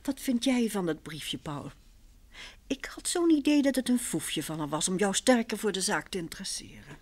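An inquisitive, teasing wife from old radio drama. Her mid-pitched voice moves widely up and down, wry and intimate. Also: big pitch swings, moderate pace. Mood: curious. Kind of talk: old Dutch radio play acting.

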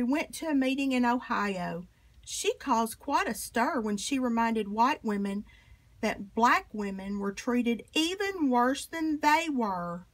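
A woman with a fairly high voice reads a children's picture book aloud. Her phrasing is expressive and emphatic, shaped for young listeners. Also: low-quality recording. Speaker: storybook reader.

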